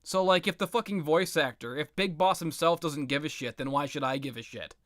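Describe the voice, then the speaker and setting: A man's voice: on the high side, brisk, fairly bright tone, no echo, clean studio sound. A man giving an unscripted, opinionated rant about video games in a blunt, exasperated, sweary manner. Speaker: video game commentator.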